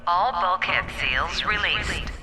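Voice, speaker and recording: A man's voice: high-pitched, lively intonation, slow pace, pushed and bright, slight background noise, exaggerated voice. A man voicing an impersonal automated security system in a video game, making clipped, procedural announcements.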